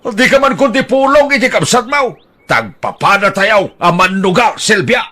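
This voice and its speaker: Guy doing an old husband who gambles, bright voice, jokey bantering, swoops up and down a lot. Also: sits high, animated, normal speed, exaggerated voice. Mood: teasing. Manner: bantering, teasing. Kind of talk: audio drama acting.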